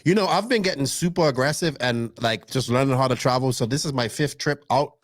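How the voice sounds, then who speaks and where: Animated teasing podcast host. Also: male voice, medium pitch, a little fast, dry recording, studio quality. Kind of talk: casual chat.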